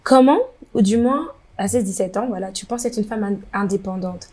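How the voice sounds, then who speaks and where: A woman's voice: medium pitch, normal speed, darkish tone. A woman interviewer asking probing questions, often suggesting possible answers herself.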